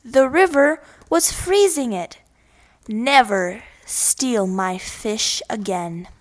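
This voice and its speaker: A woman's voice: a little high, slow. A woman voicing a sly, scolding male fox in a children's storybook, emphatic and teasing. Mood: gloating, scolding.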